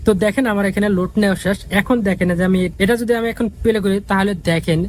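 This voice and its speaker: Fast, high-pitched man. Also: a little hiss or hum. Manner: repetitive, instructional. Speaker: tutorial host. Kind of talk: casual step-by-step app tutorial.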